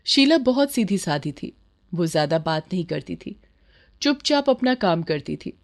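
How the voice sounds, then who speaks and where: A woman's voice: on the low side, big pitch swings, normal speed. A woman narrating a scripted moral bedtime story as a storyteller.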